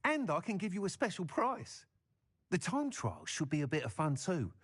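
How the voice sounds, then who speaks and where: An actor playing an enthusiastic broom seller in a video game, eager and persuasive. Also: male voice, high-pitched, very animated, normal speed, slightly rough, full character voice. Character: enthusiastic, salesmanlike male broom shop owner.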